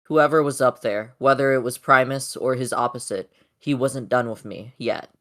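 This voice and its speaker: A performer acts out a heroic robot leader for a fan comic dub. The delivery is solemn and measured, with formal phrasing and a weary dignity, like a narrator recounting a long journey. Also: medium pitch, fairly bright tone, lightly acted. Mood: solemn, reflective. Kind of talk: comic dub, first-person dramatic narration.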